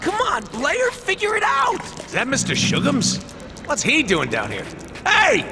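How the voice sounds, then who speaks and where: A man's voice: very high-pitched, wildly swooping pitch, unhurried, creaky, heavy background noise, full character voice. A man playing a manic, wisecracking, crude costumed antihero in a video game, goofy and hammy. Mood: exasperated. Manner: goofy, hammy, talks to himself. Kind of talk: animated video game voice acting. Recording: loud game music and explosions behind.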